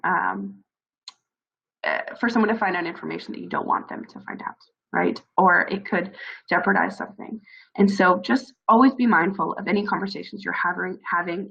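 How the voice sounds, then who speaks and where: A woman's voice: medium pitch, creaky, fairly bright tone, slightly rough, dull audio. A woman with a scratchy, froggy voice from a cold, earnestly giving encouraging advice with frequent fillers. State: hoarse from a cold. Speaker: speaker advising new military spouses. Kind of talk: informal advice talk.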